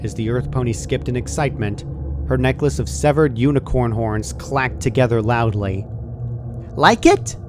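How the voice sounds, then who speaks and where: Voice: mid-range voice, moderate pace, background noise. A narrator reading a scripted horror fan fiction story aloud.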